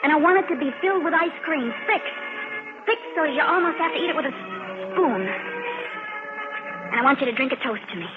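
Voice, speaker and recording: An actress playing a young, wisecracking American army nurse in a 1950s radio drama, with a breezy, emphatic delivery. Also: female voice, sits high, animated, brisk, long pauses, over music. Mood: wistful. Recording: old radio broadcast, narrow, noisy, reverberant.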